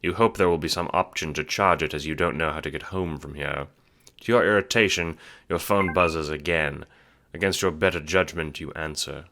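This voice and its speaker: A man's voice: extremely low pitch, normal speed, fairly bright tone. A man reading scripted second-person narration for an audio drama. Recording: music under the voice.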